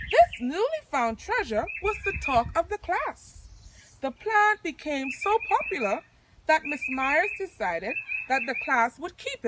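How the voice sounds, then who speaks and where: A woman hosting a story time outdoors, reading aloud and speaking directly to children in an expressive way. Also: high voice. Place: outdoors in nature. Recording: outdoor recording with clear birdsong noise. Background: chirping birds.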